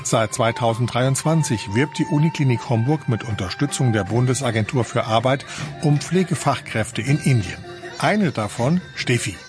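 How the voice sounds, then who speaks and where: A man's voice: medium pitch, lively intonation, normal speed, music in the background. A man narrating a scripted radio report as the reporter.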